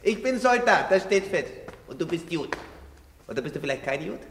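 A drunken soldier in a stage drama, boastful and bullying. His high voice swings wildly through slurred bluster, ringing in a very echoey hall. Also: very animated, normal speed, fairly bright tone, a little hiss or hum. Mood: drunken, menacing. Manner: slurred, repetitive drunken bluster with laughing and outbursts. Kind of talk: theatrical stage acting. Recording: echoey theatre hall, long reverb.

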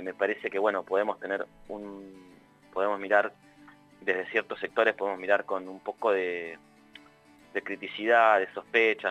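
A man with an Argentine accent giving a radio column over the phone, talking haltingly with many restarts. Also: low voice, bright, forceful tone, lo-fi sound. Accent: Argentine Spanish. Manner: hesitant, many restarts and fillers. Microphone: phone line.

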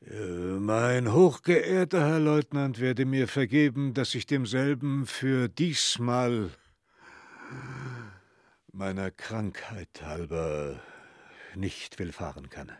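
A man's voice: mid-range voice, animated, slow, no room sound, studio quality. A man playing a sick, grave old steward who tells fortunes in a radio drama, deferential and evasive in archaic formal speech. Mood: evasive, courteous. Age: older.

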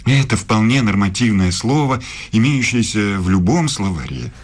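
An actor playing a self-assured, evasive professor and secret lover in a radio play, speaking formally and pedantically. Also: male voice, fairly low pitch, lively intonation, normal speed. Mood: defensive, pedantic.

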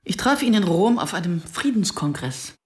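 A woman's voice: on the low side, very animated, moderate pace, darkish tone, a little hiss or hum. An actress playing a wry, self-questioning Israeli woman writer who narrates a radio drama in a dry, reflective, intimate way. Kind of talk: radio drama acting with first-person narration.

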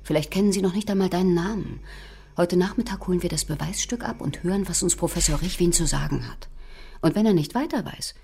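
Low, mellow, resolute female ally. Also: fast, gravelly, slight background noise. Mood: conspiratorial. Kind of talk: German radio drama acting.

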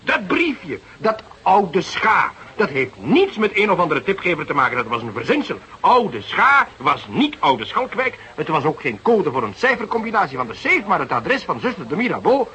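An actor playing an eager, impulsive young police detective in a radio drama, speaking emphatically. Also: male voice, high voice, big pitch swings, moderate pace, few pauses, sharp tone, full character voice. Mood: triumphant, excited. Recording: noisy radio drama recording.

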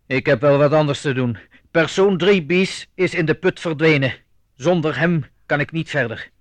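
An actor playing a grumbling secret agent reporting by radio in a radio drama. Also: male voice, fairly high pitch, moderate pace, close to an everyday voice. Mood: exasperated. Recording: noisy two-way radio call.

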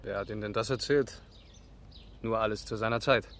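A man's voice: on the low side, steady tone, a little fast, a bit bright, a little hiss or hum, lightly acted. A man acting as a police first lieutenant in a 1970s German radio crime drama, casually probing and wry.

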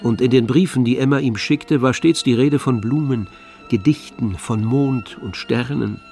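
An actor playing the detached, wry narrator of a literary radio play, with a gravelly voice. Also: male voice, medium pitch, moderate pace, darkish tone, soft background music, lightly acted.

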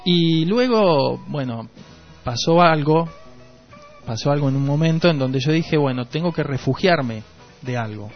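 A man's voice: on the high side, expressive, unhurried. An Argentine man with a Rioplatense accent being interviewed as a musician, speaking reflectively and earnestly, rephrasing as he goes. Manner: earnest, rephrases and repeats for emphasis. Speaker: musician and producer, radio guest. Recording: dull lo-fi radio, faint music behind.

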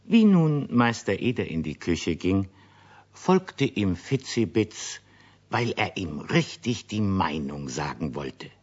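A man narrating a children's radio play as a storyteller, with a husky voice. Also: low voice, big pitch swings, slow pace, a little mellow, full character voice. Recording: dull old radio recording.

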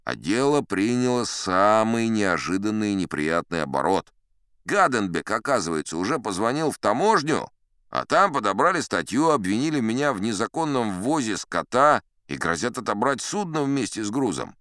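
A man narrating a first-person yarn like an audiobook actor, expressive and conversational in his telling. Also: fairly low pitch, moderate pace, clear, resonant tone, no echo, studio quality. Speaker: audiobook actor narrating as a storyteller sea captain. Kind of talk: animated scripted first-person storytelling.

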